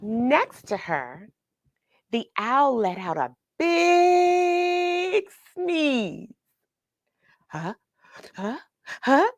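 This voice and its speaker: A woman telling a children's picture story in an expressive way, pausing for chatty questions to the young listener. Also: high-pitched, no echo. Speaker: children's read-along storyteller and host. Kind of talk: animated picture-book read-aloud with questions to young listeners.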